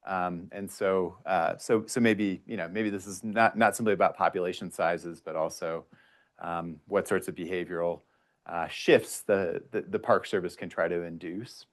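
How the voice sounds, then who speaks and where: A man with a deep voice moderates a panel discussion. He talks informally and without a script, often circling and rephrasing in a tentative way as he puts his questions. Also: steady tone, normal speed. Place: indoor public panel discussion at a library.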